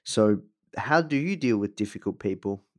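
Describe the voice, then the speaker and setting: A man hosting a casual interview, forming his questions haltingly and repeating phrases as he goes. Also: fairly low pitch, expressive. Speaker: podcast host, interviewer.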